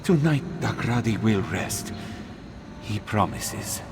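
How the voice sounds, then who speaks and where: A man's voice: sing-song, slow, creaky, exaggerated voice. A man voicing a devoted, anguished cat-man father in a video game, pleading and tender. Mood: weary tenderness. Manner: pleading, tender, speaks of himself in third person. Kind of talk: dramatic video game dialogue. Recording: noisy ambient rumble behind voice.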